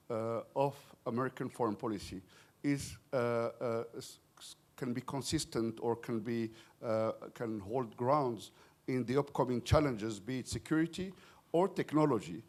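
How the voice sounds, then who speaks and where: Halting man asking a panel question. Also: medium pitch, darkish tone. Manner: halting, many fillers and restarts. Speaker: audience member asking a question. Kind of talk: conference Q&A question. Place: conference hall.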